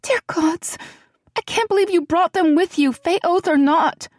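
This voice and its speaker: A woman voicing a frightened young woman who speaks high and breathlessly to her friend. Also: unhurried, dry recording, clean studio sound. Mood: anxious.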